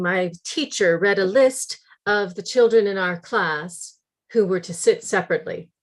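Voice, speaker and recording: An actress playing a thoughtful middle-aged refugee woman in a dramatic monologue, telling her memories in a measured way. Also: mid-range voice, lightly acted. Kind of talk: dramatic monologue at a staged script reading.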